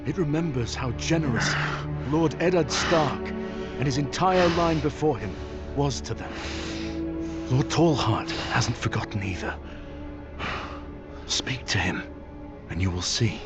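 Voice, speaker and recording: A male actor playing a solemn, steadfast young heir of a noble house, speaking in a measured, formal way in a fantasy game. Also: mid-range voice, expressive, over loud music, close to an everyday voice.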